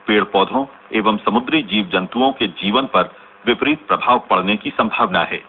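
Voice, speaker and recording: An actor playing a formal Indian radio newsreader, reading a scripted bulletin in a measured way. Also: male voice, on the low side, close to an everyday voice. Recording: tinny narrowband radio recording with noise.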